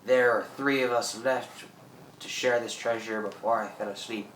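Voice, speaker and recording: An actor as a gloating old pirate, roomy sound. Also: male voice, mid-range voice, steady tone, moderate pace, fairly bright tone, a little hiss or hum, lightly acted. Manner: theatrical, gloating, cackling. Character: boastful old French pirate, greedy and menacing, newly revived. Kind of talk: radio play acting. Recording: roomy, distant microphone.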